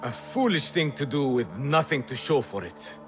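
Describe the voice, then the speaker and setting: Actor as a flamboyant, animated rebel leader. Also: male voice, medium pitch, moderate pace, faint music behind, close to an everyday voice. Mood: informative. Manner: grandiloquent, theatrical. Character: flamboyant proud male rebel leader, theatrical and eager. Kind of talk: video game cutscene acting.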